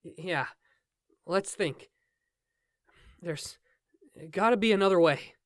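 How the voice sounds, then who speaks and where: A man playing an excitable, anxious young college student in a game fan dub. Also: high voice, slow pace, dry recording, studio quality. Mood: hesitant.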